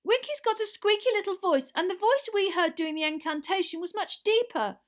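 Woman doing a teen witch girl, high and urgent, nervous but determined, storybook. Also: a little fast. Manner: earnest, urgent.

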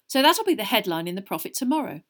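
Woman doing a worn-out middle-aged dad, grumbly, mid pitch, storybook. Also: big pitch swings, quick pace, a bit bright. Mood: weary. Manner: weary, grumbling. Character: weary, irritable middle-aged father and ministry official.

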